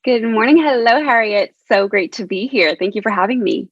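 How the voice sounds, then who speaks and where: A woman's voice: on the high side, animated, normal speed, no echo, muffled recording. A Canadian woman, a dietitian, talking casually as a podcast guest in an upbeat way, laughing often and repeating words as she restarts. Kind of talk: casual interview talk.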